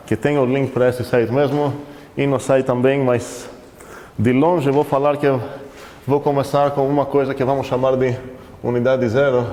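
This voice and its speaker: Lecturer with a foreign accent. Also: male voice, medium pitch, warm tone. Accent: foreign accent in Portuguese. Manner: conversational, asks the class questions, self-correcting. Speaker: university math lecturer. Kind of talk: classroom lecture. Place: lecture hall. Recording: reverberant lecture hall with faint noise.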